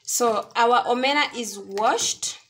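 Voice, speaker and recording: A woman's voice: fairly high pitch, slow pace, fairly bright tone. A Kenyan woman giving step-by-step cooking instructions in an explanatory tutorial style. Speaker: home cook, video host.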